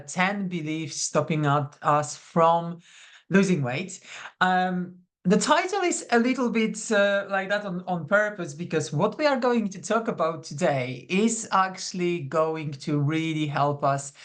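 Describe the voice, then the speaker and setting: Welcoming woman coach. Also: fairly low pitch. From Poland. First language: Polish. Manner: welcoming, chatty, greets attendees by name. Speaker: psychonutrition coach. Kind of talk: live online masterclass. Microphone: video call.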